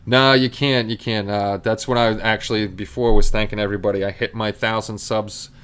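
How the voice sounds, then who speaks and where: A middle-aged man rambles easily through a casual live stream, talking straight to his viewers. His voice sits a little low and comes through smooth and clear. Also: fairly even intonation, fairly quick, a bit bright. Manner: easygoing, rambling, chatting with viewers.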